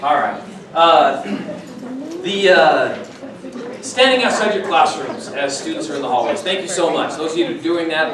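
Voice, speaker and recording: A man leads a staff meeting with informal announcements. His voice sits a little high. It comes from away from the mic in a very reverberant room, with people murmuring behind. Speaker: school administrator leading a staff meeting. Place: large room at a school faculty meeting. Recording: very echoey room, distant mic, audience murmur.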